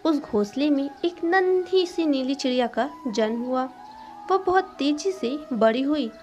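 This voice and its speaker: A woman's voice: sits high, lively intonation, unhurried, darkish tone, soft background music. A woman narrating a scripted moral story for children as a storyteller.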